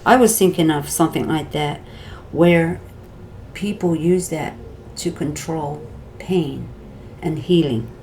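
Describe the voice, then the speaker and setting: A middle-aged woman with a Wisconsin accent recaps the day's trial for her viewers in a slow, unhurried ramble. Her voice sits low and mellow, and her delivery is chatty and meandering, drifting off topic before finding its way back. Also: slight background noise. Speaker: vlogger recapping a court trial. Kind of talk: rambling casual commentary.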